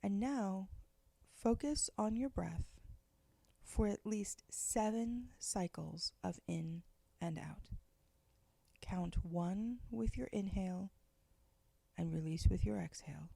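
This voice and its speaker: A woman leading a guided meditation, giving slow, soft, gentle instructions with long pauses. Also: medium pitch, creaky, no room sound.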